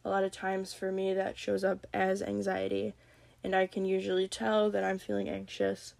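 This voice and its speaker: A young woman with a slight cold hosting a solo podcast, talking calmly and gently. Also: medium pitch, vocal fry, dry recording. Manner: calm, gentle, reflective. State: has a cold. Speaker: podcast host. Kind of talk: solo podcast talk on mental health.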